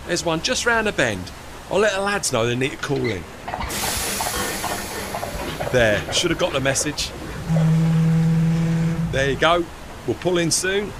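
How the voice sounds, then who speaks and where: An actor voicing a chummy, unschooled working steamboat in an audio play, blunt and colloquial, with a London Cockney accent. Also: male voice, medium pitch, background noise. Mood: breezy. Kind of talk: audio drama dialogue.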